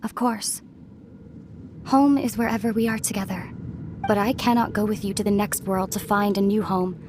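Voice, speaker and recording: A woman's voice: medium pitch, steady tone, moderate pace, noisy background, close to an everyday voice. A woman playing a solemn, resolute young princess and long-lost sister, speaking earnestly and with measure in a dramatic video game. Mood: wistful, resolute. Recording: clear game music behind the voice.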